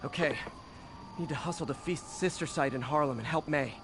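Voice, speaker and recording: An actor playing a young, quippy, protective American superhero in a video game. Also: male voice, on the high side, brisk, background noise, natural voice. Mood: wry, brisk.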